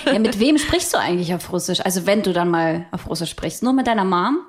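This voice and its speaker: A young woman co-hosting a podcast in casual chat, relaxed and emphatic, often chiming in with agreement. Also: on the low side, fast. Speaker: podcast co-host.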